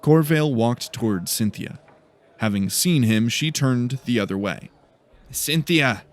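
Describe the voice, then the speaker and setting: A man's voice: mid-range voice, animated, moderate pace, darkish tone. A man reading scripted story narration as the narrator of an audiobook.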